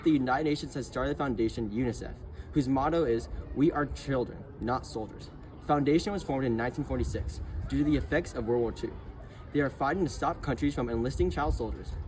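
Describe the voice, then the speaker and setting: A man's voice: medium pitch, darkish tone, slight background noise, poor audio. A young man playing a news analyst, earnestly reading scripted facts in an amateur news segment. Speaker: mock news analyst and field reporter.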